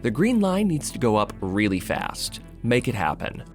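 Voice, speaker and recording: Guy doing video essay narration, really lively up and down delivery, music underneath. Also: mid-range voice, normal speed. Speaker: video essayist and channel host.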